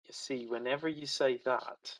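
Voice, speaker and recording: A man's voice: medium pitch, fairly even intonation, moderate pace. A man hosting a history talk, moderating informally in a polite, tentative way. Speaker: host of an online history talk.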